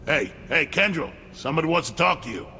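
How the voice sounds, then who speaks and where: An actor playing a reluctant, self-protecting go-between in a video game cutscene. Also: male voice, on the high side, expressive, fairly quick, bright, forceful tone, long reverb tail, slight background noise. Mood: calling out. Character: nervous, reluctant male go-between, saving his own neck.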